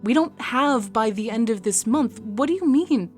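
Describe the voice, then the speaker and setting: A woman's voice: fairly high pitch, animated, normal speed, a little mellow, faint music behind, natural voice. A woman playing a worried, urgent male scientist in a radio drama.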